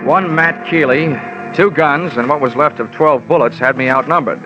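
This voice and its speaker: A man's voice: fairly high pitch, normal speed, over loud music, natural voice. An actor playing a wisecracking insurance investigator, narrating his case in clipped, slangy 1940s hard-boiled radio style. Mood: tense, wry. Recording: muffled vintage radio recording.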